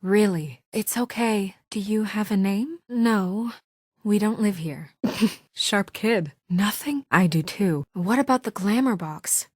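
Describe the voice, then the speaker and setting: A woman voicing a brisk, authoritative, no-nonsense woman administrator under pressure in a video game, crisp, earnest and firm. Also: medium pitch, dry recording, clean studio sound. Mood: gentle, reassuring. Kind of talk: naturalistic video game voice acting.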